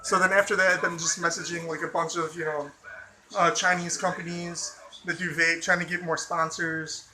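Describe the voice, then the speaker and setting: A man's voice: a little high, flat delivery, normal speed, bright, forceful tone, a little hiss or hum, rough recording. An American man from the Chicago suburbs vlogging in a loose, profane way, full of "like" and "you know". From Chicago suburbs, USA. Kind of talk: casual rambling vlog talk.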